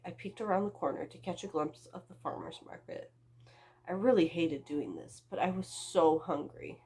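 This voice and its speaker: A woman's voice: fairly low pitch, a little mellow, slightly rough. A young woman reading her own story aloud as a book vlogger, with a dramatic, self-mocking delivery and amused asides.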